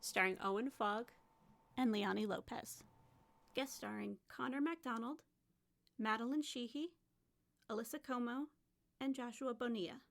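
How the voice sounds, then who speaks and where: High-voiced woman reading podcast credits. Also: normal speed, creaky, pauses often, fairly bright tone.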